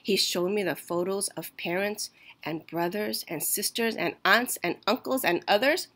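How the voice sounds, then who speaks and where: A woman reading a rhyming children's picture book aloud in a deliberate, expressive storytelling way. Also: medium pitch. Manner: slow, deliberate, emphatic storytelling. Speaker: storytime reader.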